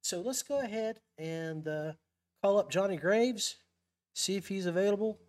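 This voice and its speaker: Podcast host, male, higher-pitched voice, loose and informal live-stream chat. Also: moderate pace, a little mellow. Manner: informal, calls guest buddy and brother.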